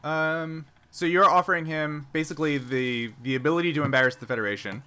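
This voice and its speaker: Bright, clear-cut man running a tabletop game. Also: on the high side, a little fast. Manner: explanatory, checking understanding. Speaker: game master. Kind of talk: explaining rules and narrating a tabletop game.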